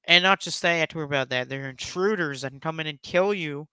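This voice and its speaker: Bright, high-pitched man, emphatic countdown host. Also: fast, smooth, no room sound. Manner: emphatic, effusive, rambling. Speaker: YouTube host giving a top ten countdown. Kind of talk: casual enthusiastic commentary.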